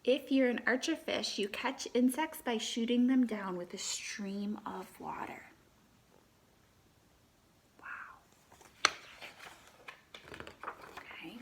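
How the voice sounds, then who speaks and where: A woman reads a children's picture book aloud expressively, pausing for chatty asides and questions to her young listeners. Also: on the high side.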